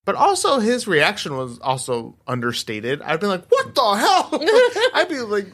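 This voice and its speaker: A woman co-hosting a podcast, chatting casually about a film in an informal, expressive, often incredulous way. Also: medium pitch, a little slow. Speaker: podcast co-host.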